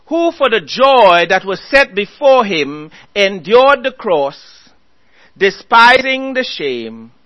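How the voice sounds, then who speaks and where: A man preaching a sermon, earnestly exhorting his listeners and reading scripture aloud slowly. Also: high voice, pushed and bright, lo-fi sound.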